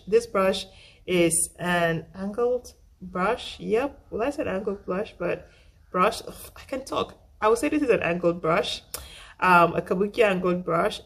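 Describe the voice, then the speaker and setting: A young woman talks through her makeup brushes in a relaxed tutorial. Her voice is husky and roughened by a flu she is getting over, and it sits a little low. She is chatty and self-deprecating, and she circles back to repeat her points. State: recovering from flu. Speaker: makeup vlogger. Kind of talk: casual makeup tutorial talk.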